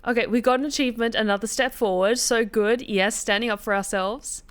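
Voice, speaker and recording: A woman's voice: fairly high pitch, fairly even intonation, brisk. A woman streaming a visual novel, giving chatty, informal reaction commentary with some casual swearing. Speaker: game streamer.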